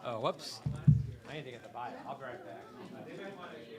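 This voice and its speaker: A man's voice: mid-range voice, expressive, a little slow. A man organizing an event, chatting casually and joking with laughs between remarks. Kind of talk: casual chat. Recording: distant mic, crowded room chatter.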